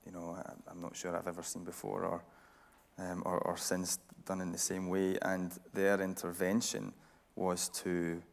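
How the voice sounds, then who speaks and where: A man hosting a journalistic interview on stage, deliberate and pausing mid-phrase to stress his points. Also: deep voice, monotone, gravelly. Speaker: interviewer and host. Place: radio theatre stage.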